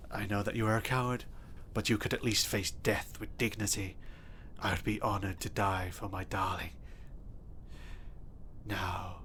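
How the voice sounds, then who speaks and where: A man playing an obsessive, possessive stalker in an audio roleplay, speaking softly and intimately with a threatening edge. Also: lowish voice, slight background noise, close to an everyday voice. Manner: soft, intimate and menacing, bursting into threats. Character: obsessive, possessive male stalker kidnapper, adult.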